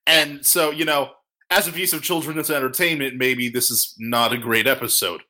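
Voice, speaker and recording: A man's voice: mid-range voice, fairly even intonation, moderate pace, bright, forceful tone. A man hosting a casual panel discussion, talking in an informal, earnest and joking way. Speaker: podcast host.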